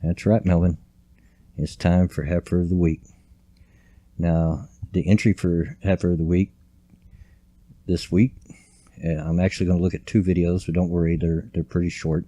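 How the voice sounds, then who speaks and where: A man's voice: sits low, warm tone, no echo. An older man, rambling folksily through casual, grumpy commentary recorded at home late at night. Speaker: vlogger. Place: home at night, quiet room.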